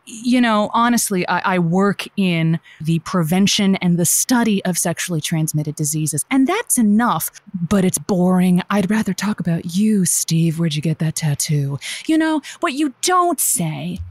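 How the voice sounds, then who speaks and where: Woman acting as peppy radio advice host lady, super animated, flustered but encouraging, dry studio sound. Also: lowish voice, measured pace, no echo. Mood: enthusiastic. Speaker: radio call-in show host. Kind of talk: semi-improvised audio drama, radio host banter.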